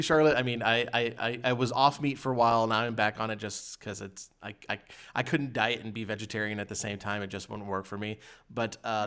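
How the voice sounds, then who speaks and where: A man's voice: on the low side, a bit bright. A man hosting a casual podcast, chatting in a joking, sardonic way. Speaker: podcast host. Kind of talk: casual chat.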